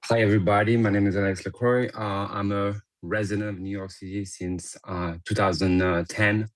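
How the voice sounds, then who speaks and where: A man with a French accent speaks in a low voice at a public meeting. He speaks haltingly, stopping on "uh" and "you know" and restarting his sentences as he builds his points. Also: level tone. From France. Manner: halting, with many fillers and restarts. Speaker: public commenter. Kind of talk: public comment at a board meeting.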